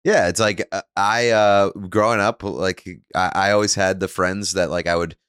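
A man's voice: low voice, fairly even intonation, normal speed, fairly bright tone, clear, resonant tone, no room sound. A man as a podcast guest, laid-back with a dry sense of humor. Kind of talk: casual chat.

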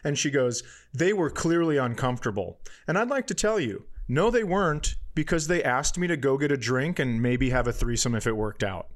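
A man's voice: medium pitch, animated, normal speed, hardly any pauses. A man talking as a comedian on a podcast, casual and opinionated, ranting with heavy swearing.

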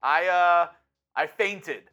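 A man's voice: high voice, drawn-out words, bright, forceful tone, clear, resonant tone, muffled recording. A man co-hosting a live sports chat, joking in an easygoing way. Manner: jokey, easygoing. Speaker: co-host. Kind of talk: casual chat.

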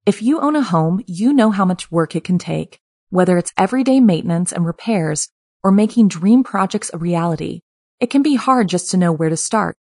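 A woman reads scripted advertising copy as an announcer. Her voice sits a little low. The recording is very dry, with no room echo. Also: dark tone. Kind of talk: scripted commercial read.

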